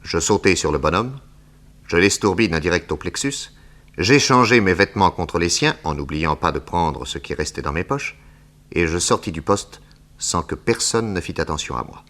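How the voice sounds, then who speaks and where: An actor playing a bold, self-assured detective hero who narrates and acts in a 1960s French radio drama. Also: male voice, deep voice, expressive, moderate pace, a bit bright. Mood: composed storytelling.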